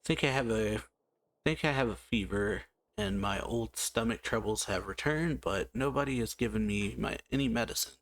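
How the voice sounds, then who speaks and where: Gravelly, musing gameplay host. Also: male voice, lowish voice, a bit bright, dry recording. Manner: slow, musing, reads text aloud with frequent hums. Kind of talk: casual let's-play commentary, reading game text aloud.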